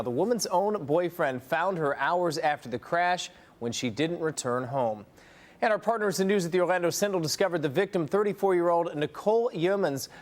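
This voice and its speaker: An American man anchoring TV morning news in a scripted news-reading style. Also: fairly high pitch.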